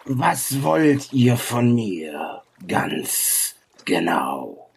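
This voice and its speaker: An ancient reptilian sage from a fantasy tabletop game. He speaks extremely slowly and pompously, in a husky, creaking voice. He sounds arrogant and weary of the world. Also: mid-range voice, sing-song, heavily acted. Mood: haughty, probing. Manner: slow, drawn-out, pompous delivery. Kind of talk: in-character tabletop roleplaying.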